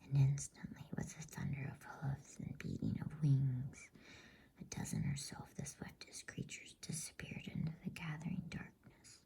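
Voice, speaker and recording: A woman reads a bedtime story in a hushed, soothing, breathy ASMR whisper with a husky edge. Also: very deep voice, steady tone. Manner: slow, hushed, soothing delivery. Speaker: host reading bedtime stories.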